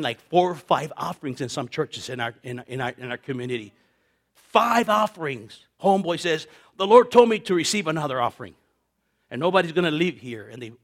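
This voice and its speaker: A man in his fifties preaching, telling personal stories with repetitions, laughter and acted-out voices of others. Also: mid-range voice. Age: 50s. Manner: animated, repeats words, laughs often, acts out quoted voices. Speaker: pastor. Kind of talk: sermon with personal storytelling.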